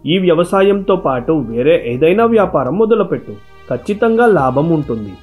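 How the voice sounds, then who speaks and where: A practical village merchant acted by a man advises with assurance in a cartoon tale. The male voice runs rather high, with faint music in the background. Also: lively intonation, moderate pace, phone-quality sound, lightly acted. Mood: advising.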